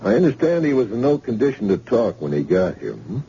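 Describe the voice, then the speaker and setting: An actor playing a level, businesslike police detective sergeant in an old-time radio drama. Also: male voice, lowish voice, very animated, a little fast, warm tone, husky, exaggerated voice. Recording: hissy, muffled old radio recording.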